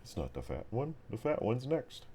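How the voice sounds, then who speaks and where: A man's voice: mid-range voice, huge pitch swings, normal speed, dark tone, husky. A man acting as game master, improvising descriptive narration of a tabletop game mixed with informal, sweary chat.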